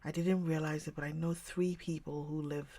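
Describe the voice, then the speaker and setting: A woman hosting a livestream, chatting casually with viewers in a rambling way and often dropping into a soft whisper. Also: low voice, little pitch movement, normal speed. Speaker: livestream host reading books. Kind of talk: casual chat with live viewers.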